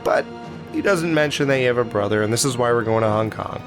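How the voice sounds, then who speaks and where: A man's voice: medium pitch, fast talker, over music. A man co-hosting a podcast, reviewing an action movie in loose, crude banter and retelling scenes with a joking edge. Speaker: podcast co-host. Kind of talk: casual movie review chat.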